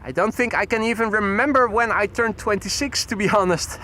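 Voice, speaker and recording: A man's voice: high voice, fairly quick, sharp tone, slight background noise. A man hosting a live plane-spotting stream, chatting and greeting viewers by name as he commentates. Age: 40s.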